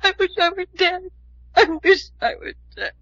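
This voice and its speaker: A tearful young woman who believes herself cursed. Her voice is high and piercingly bright, with breathless melodramatic delivery. It sounds like a muffled old radio drama. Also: animated, normal speed, no echo, full character voice. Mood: hysterical, tearful. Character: distraught young woman convinced she is fatally cursed. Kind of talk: 1940s radio acting.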